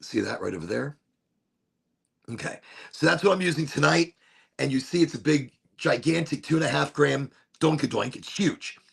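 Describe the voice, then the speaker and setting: A man hosting a casual livestream, hoarse from losing his voice, talking in an upbeat, rambling, repetitive way. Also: a little high, animated, normal speed, a bit bright. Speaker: livestream host.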